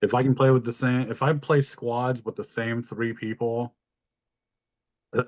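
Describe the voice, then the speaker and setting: A man with a deep voice co-hosting a podcast, telling stories in an informal, casual way and swearing freely. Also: little pitch movement, unhurried, a little mellow, no echo. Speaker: podcast co-host. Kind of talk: casual chat, storytelling.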